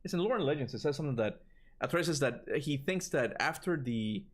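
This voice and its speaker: A man with a Cuban accent chatting casually on a livestream, rambling and animated, answering chat and swearing now and then. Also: fairly high pitch, brisk. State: tired voice from long talking. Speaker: gaming streamer answering chat.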